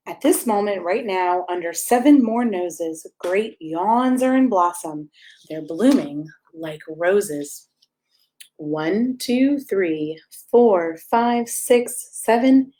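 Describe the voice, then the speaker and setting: A woman's voice: on the low side, dark tone, a little hiss or hum, poor audio. A woman reads a rhyming picture book expressively to children, playfully speaking to her young listeners as she goes. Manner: playful, addressing young listeners directly with questions. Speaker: pastor reading a bedtime story.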